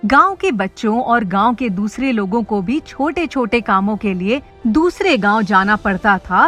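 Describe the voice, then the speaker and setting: A woman's voice: fairly high pitch, a bit bright. A woman reading a scripted children's story as its narrator.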